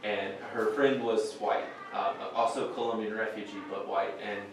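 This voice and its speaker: A man's voice: fairly low pitch, level tone, normal speed. A man giving a translated voice-over, speaking plainly and measuredly with some fillers. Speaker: voice-over interpreter. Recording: very echoey, distant, faint noise.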